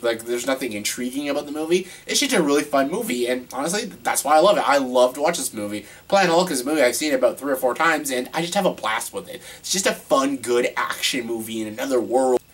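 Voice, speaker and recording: A man with a cold and a stuffed-up nose, chatting excitedly and rambling through a casual top-ten video. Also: mid-range voice, slightly rough. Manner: excitable, rambling, repeats himself. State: congested with a cold. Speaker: vlogger ranking films.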